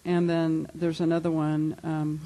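A moderator reading out student and audience questions to a panel in a brisk, matter-of-fact way. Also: medium pitch, monotone, warm tone.

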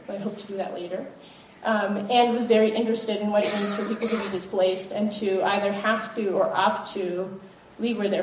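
A woman on a panel speaks in a thoughtful, measured way, pausing often to gather her words. She sits well back from the microphone, so her voice sounds distant and muffled. A faint hiss hangs in the roomy space. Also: mid-range voice, a little mellow. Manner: thoughtful, measured, frequent ums. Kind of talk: reflective panel talk.

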